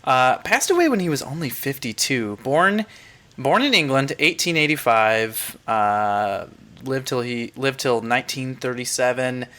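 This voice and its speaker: A man's voice: mid-range voice, expressive, a little slow, fairly bright tone. A man hosting a podcast, talking casually and reading aloud. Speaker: podcast host. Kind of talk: casual chat and reading a short story aloud.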